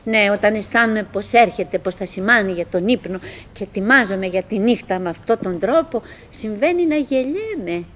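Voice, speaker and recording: An actress playing a chattering, wistful, nagging wife who clings to cheer, in halting, repetitive theatrical monologue for an absurdist radio play. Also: female voice, medium pitch, animated, a bit bright, a little hiss or hum, close to an everyday voice. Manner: halting, repetitive, theatrical, mimicking remembered dialogue.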